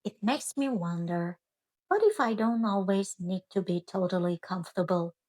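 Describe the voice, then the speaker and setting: A woman voicing an earnest, cheerful couch potato in a storybook, with expressive, drawn-out delivery. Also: mid-range voice, no echo, clean studio sound, lightly acted. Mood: reflective.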